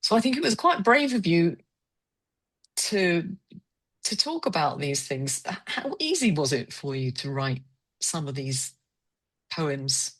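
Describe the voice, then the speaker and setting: A woman's voice: low voice, very animated, measured pace, long pauses, fairly bright tone, breathy edge. A woman hosting a literary conversation, asking reflective questions in a tentative, searching way. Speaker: interviewer hosting a poetry salon.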